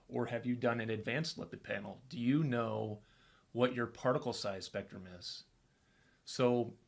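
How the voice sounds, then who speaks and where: An easygoing man co-hosting a live Q&A, reading viewer comments aloud with a playful touch. His voice sits at a middle pitch for a man. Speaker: co-host reading viewer questions. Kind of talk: casual live Q&A chat.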